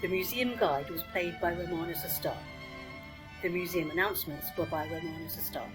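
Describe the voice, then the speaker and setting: A woman's voice: fairly low pitch, brisk. A woman reading scripted credits as an announcer. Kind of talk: scripted narration. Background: violin music.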